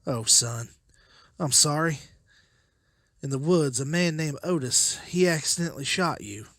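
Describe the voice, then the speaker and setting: An actor playing an anguished, protective father in a motion comic drama, husky and emotionally intense. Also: on the high side, a little slow, a bit bright, dry recording, clean studio sound. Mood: tender. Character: anguished, protective father leading zombie-apocalypse survivors.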